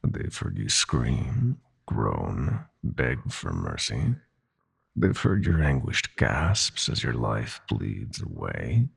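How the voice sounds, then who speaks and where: A sadistic nightmare prince with an extremely deep voice full of gravel. He drawls slowly, mock-polite and smug, savoring his taunts. The delivery is theatrical audio-drama villainy, breathy and menacing. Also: a bit bright, exaggerated voice. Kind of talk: audio roleplay villain acting.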